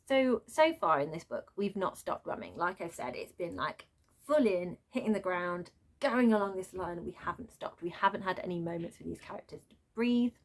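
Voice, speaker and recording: A woman giving an unscripted, chatty book rant as a reviewer, exasperated and prone to animated asides. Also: on the low side, normal speed. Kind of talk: unscripted book rant, casual vlog chat.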